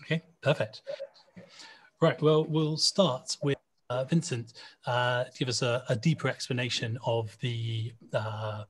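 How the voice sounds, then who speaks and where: A man moderating a live panel discussion over a video call, chatty and quick to laugh. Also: medium pitch, fairly even intonation. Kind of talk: casual panel hosting.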